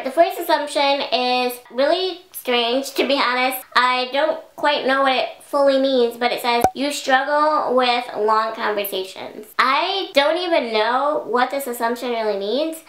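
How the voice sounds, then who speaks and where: A young woman talks straight to her camera in a loose, rambling style, leaning on "like" as filler. Her voice sits a bit high and sounds a little hoarse. The room around her rings with noticeable echo. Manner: chatty, rambling, many "like" fillers. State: slightly hoarse. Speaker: YouTube vlogger. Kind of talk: casual talk to camera.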